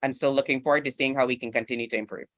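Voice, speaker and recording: A man talks in an unscripted panel discussion. His voice sits at an average pitch with very little room sound. He is conversational and thoughtful, peppering his points with "you know". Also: fairly even intonation, rapid-fire, a bit bright, no room sound. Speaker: panel speaker.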